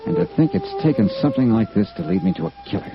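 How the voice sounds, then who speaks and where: An actor playing a blunt, wry, hard-boiled insurance investigator in a vintage radio noir drama. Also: male voice, medium pitch, huge pitch swings, fast, over loud music. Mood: musing. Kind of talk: 1950s radio noir acting. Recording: dull vintage radio recording.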